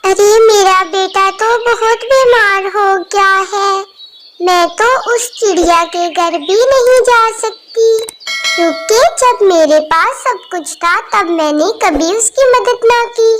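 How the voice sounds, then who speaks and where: A once-haughty mother bird from a children's cartoon, now humbled, played by a woman. Her voice is extremely high, slow and pleading, on a very noisy recording full of chirping. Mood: worried. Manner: pleading, earnest. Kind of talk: children's cartoon moral story. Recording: very noisy, birdsong behind.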